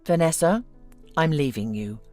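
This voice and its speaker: A woman's voice: low-pitched, lively intonation, normal speed, mellow, no echo, soft background music, voice pushed down. A woman voicing a timid, resentful husband in a narrated story, with a trembling, meek, hurt delivery. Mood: hurt, resolute. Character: timid, resentful, long-humiliated husband, adult man.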